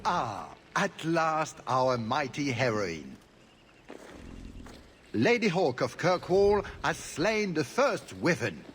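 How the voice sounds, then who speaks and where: Slow, grandiose, pompous duke. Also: male voice, a little high, very animated, fairly bright tone, a little hiss or hum, heavily acted. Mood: proud, ceremonious. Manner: grandiose, formal, flowery. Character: pompous Orlesian duke, courtly host, smugly charming. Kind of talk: theatrical fantasy game acting.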